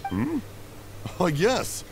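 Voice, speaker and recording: A man's voice: high-pitched, huge pitch swings, very slow, heavy background noise, exaggerated voice. A man voicing a mild, easygoing father in a video game. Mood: relieved. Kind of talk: English video game voice acting.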